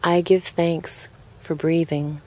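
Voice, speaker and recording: A woman narrating a guided relaxation in a calm, gentle and unhurried way, leaving pauses between phrases. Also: lowish voice.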